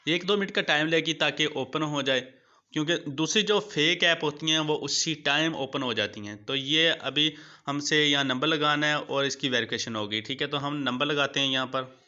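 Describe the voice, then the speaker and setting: A man's voice: on the high side, normal speed, sharp tone. A man hosting a casual, colloquial app tutorial, addressing viewers like buddies and repeating his points. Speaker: YouTube host of app tutorials. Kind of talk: casual tutorial narration with screen walkthrough. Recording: dull lo-fi sound.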